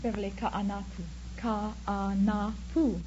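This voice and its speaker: A woman's voice: medium pitch, level tone, slow, mellow. A woman from Hawaii as a quiz show contestant, answering politely and briefly. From Honolulu, Hawaii. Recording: dull vintage radio broadcast, faint noise.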